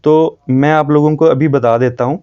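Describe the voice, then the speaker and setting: A North Indian man speaks to viewers in an earnest, informal explanatory style. His voice sits a little high and is on the mellow side, and he repeats points for emphasis. The recording is slightly dull. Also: fairly even intonation, normal speed, clear, resonant tone. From Jammu, India. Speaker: video host.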